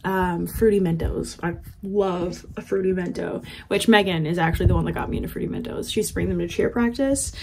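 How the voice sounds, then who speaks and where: A woman's voice: lowish voice, a little fast, vocal fry, hardly any pauses, background noise. A young woman vlogging casually at home, chatty and candid, laughing often and filling her talk with "like". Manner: chatty, giggly and candid, with frequent laughs and filler "like". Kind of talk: casual vlog chat. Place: home, with laundry running.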